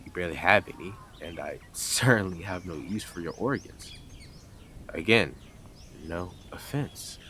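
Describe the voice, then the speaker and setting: A man's voice: low-pitched, unhurried, pushed and bright, a little hiss or hum. A man playing a blunt, sarcastic, teasing stalker who is devoted to the listener in an intimate roleplay audio drama. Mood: smug, mocking.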